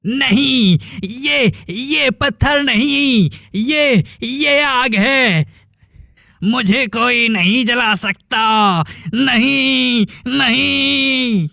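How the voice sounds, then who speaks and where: A man's voice: very high voice, gravelly, sharp tone, no room sound, studio quality, heavily acted. A man playing an evil sorcerer's spirit in a cartoon story, grandiose and cruel in manner. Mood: desperate, agonized. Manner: menacing, grandiose, very slow. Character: evil male sorcerer spirit, boastful, cruel darkness incarnate. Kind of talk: cartoon villain acting.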